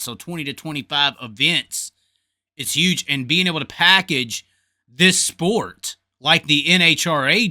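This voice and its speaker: A man's voice: on the high side, bright, forceful tone. A man hosting a talk show, giving blunt, folksy, opinionated casual commentary with some swearing and repeated phrases for emphasis. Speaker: host of a motorsports talk show.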